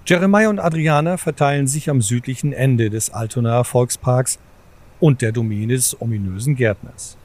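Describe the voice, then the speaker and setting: A man's voice: medium pitch, moderate pace, darkish tone. A man narrating a scripted audio drama as a storyteller, in composed, formal full sentences.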